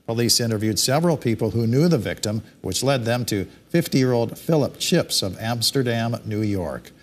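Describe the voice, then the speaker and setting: A male news anchor reads the day's stories from a television studio desk. His voice is mid-pitched and a little husky, and his delivery stays steady and measured. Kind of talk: scripted news reading.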